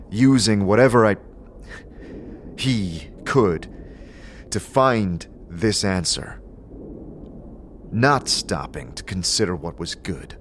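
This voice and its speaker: Man acting a low, brooding immortal wanderer. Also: slight background noise. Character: brooding amnesiac immortal man, troubled and searching. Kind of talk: fantasy audio drama acting.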